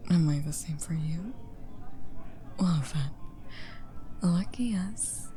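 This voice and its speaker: A woman's voice: lowish voice, warm tone. A woman playing a flirty, charming stranger at a party in an intimate ASMR romance roleplay, with a teasing, coaxing delivery. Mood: hushed, eager. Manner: teasing, coaxing, unhurried, often drops to a whisper.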